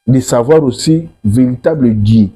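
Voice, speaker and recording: Congolese man explaining his faith in an interview, really dark muffled tone, bit husky, repeats himself. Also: medium pitch, a little slow. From Democratic Republic of the Congo. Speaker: religious messenger, interview guest. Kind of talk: explanatory interview talk, mixing French and Lingala.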